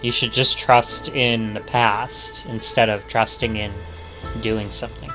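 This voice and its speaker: Game streamer, mid-pitched, read-aloud narration, casual asides, faint music underneath. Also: fairly even intonation, unhurried, pushed and bright, rough recording. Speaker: streamer playing a visual novel. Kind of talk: reading game text aloud with casual commentary.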